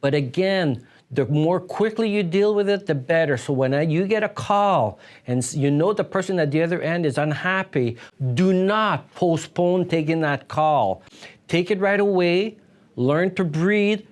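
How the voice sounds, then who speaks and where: Man, somewhat high-pitched, accountant host, instructional delivery, deliberate and emphatic. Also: animated. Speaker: accountant giving business advice as video host. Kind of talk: instructional advice talk to camera.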